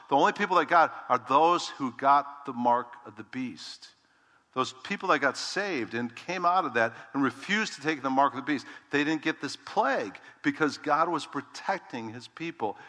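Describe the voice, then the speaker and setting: Male pastor, loud emphatic preacher, bright forceful tone, echoing sanctuary. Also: on the high side. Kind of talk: sermon. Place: church sanctuary.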